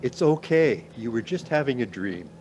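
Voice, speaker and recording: An actor plays a middle-aged male professor with a mid-pitched voice, courteous and gentle. It is radio drama, with faint vehicle ambience behind him. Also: expressive, normal speed, a little hiss or hum, natural voice, poor audio. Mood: gentle, concerned. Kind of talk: radio play acting.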